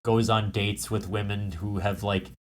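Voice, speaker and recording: A man's voice: on the low side, little pitch movement, unhurried. A man co-hosting a podcast, trading dry, teasing quips in casual comedy banter. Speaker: podcast co-host.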